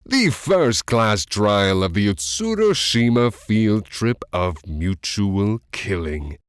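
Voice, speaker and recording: A man's voice: fairly low pitch, big pitch swings, clean tone, no room sound. A man voicing a theatrical, taunting master of ceremonies in an anime-style game fan dub, drawing out words like a showman. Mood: menacing, formal. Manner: dramatic, drawn-out showman delivery.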